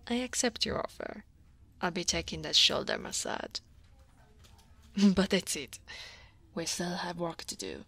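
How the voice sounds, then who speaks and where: A female dragon office senior, played by a woman from Italy, speaks in a soft, husky voice at an unhurried pace. She is guarded yet teasing and easily flustered, in the intimate style of an ASMR roleplay. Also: on the low side, animated, no echo, exaggerated voice. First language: Italian. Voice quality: soft, breathy. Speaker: voice actor.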